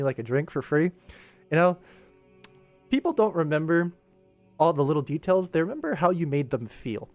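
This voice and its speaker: A young American man with a fairly high voice chats off the cuff to a livestream. He is emphatic and enthusiastic, wandering into tangents as he goes. Music plays behind him. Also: darkish tone. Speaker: livestream host. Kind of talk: casual livestream rant.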